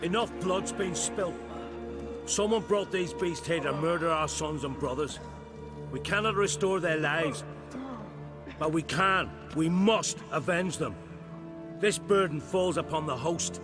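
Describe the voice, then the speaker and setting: Male actor as solemn game clan elder, unhurried, commanding, slightly high voice, music behind. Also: close to an everyday voice. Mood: grave, commanding. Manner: measured, commanding. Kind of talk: dramatic video game acting.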